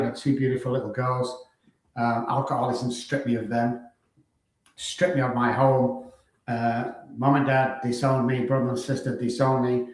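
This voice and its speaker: A middle-aged man with a Manchester accent, a guest on a live online talk show, speaking briskly in lists with frequent fillers. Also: mid-range voice, darkish tone. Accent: Manchester English. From Manchester, England. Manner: brisk, listing, frequent uh fillers and throat clearing. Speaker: guest, addiction doctor. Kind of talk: casual live-stream interview. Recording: very echoey room.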